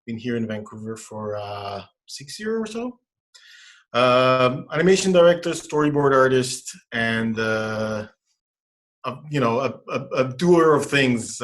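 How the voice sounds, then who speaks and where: A man with an Israeli accent introducing himself as a guest on a video call, in an animated, halting way. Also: medium pitch. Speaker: guest, author and animation director. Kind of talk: casual self-introduction.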